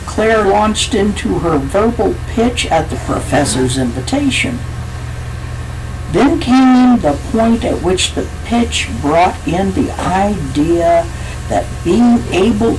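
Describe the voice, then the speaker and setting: A woman author reading a chapter of her own novel aloud in a slow, measured way. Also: mid-range voice, noisy background.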